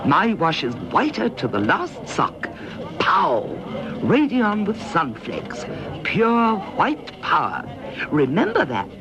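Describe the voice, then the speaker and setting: An actor playing a brash, excitable comic shopper in a radio advert sketch. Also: male voice, high voice, slow, exaggerated voice. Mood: excited, boastful. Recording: dull old radio advert, music behind.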